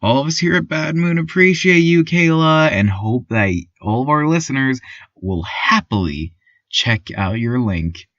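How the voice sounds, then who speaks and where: A man's voice: mid-range voice, expressive, a little slow, fairly bright tone, no room sound, muffled recording. A man playing a cheery, doting small-town radio host in an eerie comedic drama, with a gushing, folksy, theatrical manner and stammering asides. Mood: tender, grateful. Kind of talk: scripted comedic radio monologue, community news parody.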